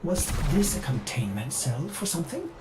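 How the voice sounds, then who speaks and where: An actor playing a ship's artificial intelligence in a video game, polite, formal, anxious and eager to defer. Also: male voice, fairly high pitch, big pitch swings, normal speed. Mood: cautious. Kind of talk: video game character acting. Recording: music behind the voice.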